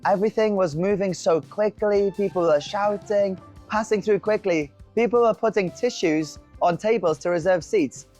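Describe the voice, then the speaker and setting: A woman's voice: mid-range voice, normal speed, few pauses, mellow, faint music behind. A woman from North Wales, hosting and telling candid, self-deprecating stories at an open-air food centre. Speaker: host. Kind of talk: scripted conversational presenting.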